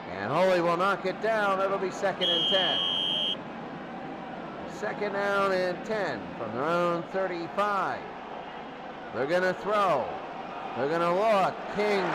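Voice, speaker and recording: A man doing live play-by-play football commentary and recaps in short, clipped, repetitive phrases. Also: high voice. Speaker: play-by-play announcer. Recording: noisy, crowd roaring behind the voice. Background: loud stadium crowd noise.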